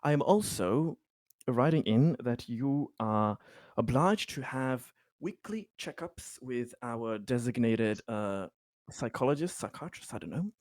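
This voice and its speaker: A man playing a placating yet firm bureaucratic official in improvised tabletop roleplay, speaking haltingly and officiously with long pauses. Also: medium pitch, lively intonation, a little mellow, dry recording, close to an everyday voice.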